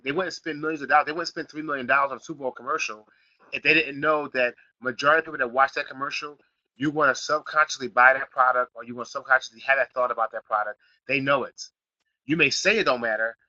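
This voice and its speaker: A middle-aged man from Philadelphia hosting a live online marketing class, slightly raspy from a sniffle, repeating himself and building to emphatic points. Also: mid-range voice, pushed and bright, no room sound, muffled recording. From Philadelphia, Pennsylvania. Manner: repetitive, restarts phrases, builds to emphatic points. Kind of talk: live teleseminar class, casual coaching.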